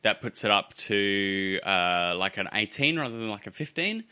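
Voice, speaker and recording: A man's voice: lowish voice, lively intonation, normal speed, sharp tone, smooth, no room sound, studio quality. A man speaking casually as game master, improvising narration and rulings for a tabletop roleplaying game.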